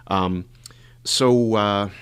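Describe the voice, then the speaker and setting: Brief hoarse male podcast host, very low voice, loose rambling delivery. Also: fairly even intonation, very slow, fairly bright tone. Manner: rambling, filler-heavy explaining. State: hoarse and congested. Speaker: podcast host, injury lawyer. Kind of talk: casual podcast monologue.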